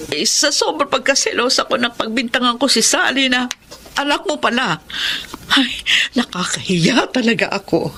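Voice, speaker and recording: Jealous filipino wife, radio drama, high and swoopy voice, bit of noise. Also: female voice, lively intonation, normal speed, bright, forceful tone. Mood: ashamed. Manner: confrontational, emotional, dramatic. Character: jealous, hot-tempered middle-aged wife, haughty boss's spouse.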